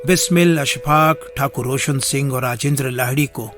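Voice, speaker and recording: A man's voice: medium pitch, normal speed. A man narrating a historical radio drama in a solemn, emphatic, scripted style. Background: music.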